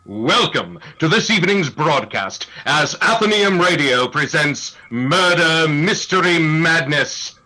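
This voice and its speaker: A man's voice: fairly high pitch, expressive, slow pace, hardly any pauses, bright, forceful tone, a little hiss or hum, exaggerated voice, low-quality recording. A man playing a grandiose old-time radio announcer who narrates a murder mystery in a melodramatic, theatrical manner. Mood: grandiose, ominous. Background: musical underscore.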